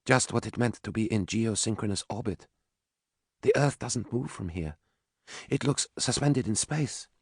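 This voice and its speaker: A man voicing a quiet, thoughtful woman in an audiobook, speaking softly and higher than his own voice. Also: measured pace, husky, dry recording, studio quality. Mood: wistful.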